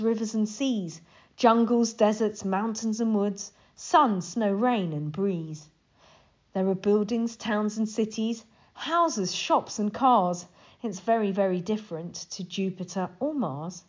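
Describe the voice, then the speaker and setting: Woman as a curious little monkey, slightly husky. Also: medium pitch, darkish tone. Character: young curious monkey who dreams of space.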